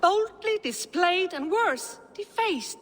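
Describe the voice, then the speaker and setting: A woman's voice: very high-pitched, expressive, slow, exaggerated voice. An actress playing a wronged settler woman who presses her complaint in pointed, formal speech. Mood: aggrieved. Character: aggrieved female settler, wronged property owner. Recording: long hall reverb.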